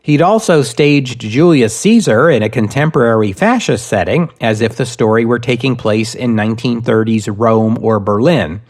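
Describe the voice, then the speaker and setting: A man reading scripted history narration as a podcast host, with measured, deliberate phrasing. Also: medium pitch, darkish tone.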